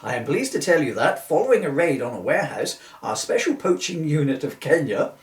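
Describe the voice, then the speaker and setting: A distant, echoey recording of a man performing a comedy police constable. He is officious and sarcastic, his pitch leaping about as his formal politeness wears thin. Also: sing-song, a little fast. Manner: officious politeness giving way to exasperation. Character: exasperated, sarcastic male police constable taking a report. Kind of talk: comic two-hander read from a book.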